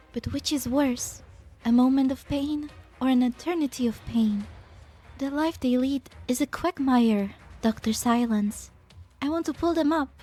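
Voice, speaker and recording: A woman voicing a compassionate, idealistic scientist who is gentle yet unyielding, in an earnest amateur fan dub. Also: sits high.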